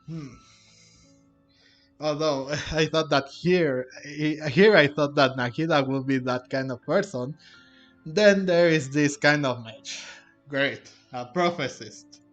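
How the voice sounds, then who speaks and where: A man comments casually on a game in a slow, halting way, thinking aloud. His voice is fairly high for a man and sounds muffled, with game music underneath. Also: a bit bright. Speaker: gameplay video commentator. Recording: dull lo-fi microphone.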